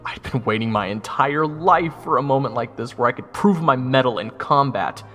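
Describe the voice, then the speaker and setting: A man's voice: medium pitch, animated, moderate pace, fairly bright tone, close to an everyday voice. A man playing an eager but nervous young soldier who narrates his fantasy adventure with wry self-deprecation. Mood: wry narrating. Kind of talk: first-person fantasy audio drama narration. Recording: orchestral game music behind.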